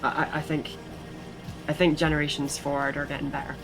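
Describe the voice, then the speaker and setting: A woman's voice: sits low, level tone, brisk, over music, poor audio. A British woman with a shifting mix of English, Scottish and American accent, chatting casually and rambling with many restarts while streaming a game. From Britain.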